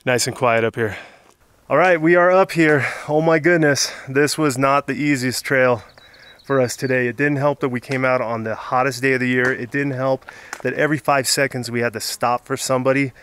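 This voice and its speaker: A man's voice: medium pitch, fairly even intonation, moderate pace, a bit bright, clean tone. A man in his thirties vlogging casually outdoors on a mountain summit after a hard hike, tired, chatty and laughing often, recorded on a handheld camera at arm's length. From Southern California. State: exhausted after a hard climb. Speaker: hiking vlogger. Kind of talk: casual vlog talk to camera.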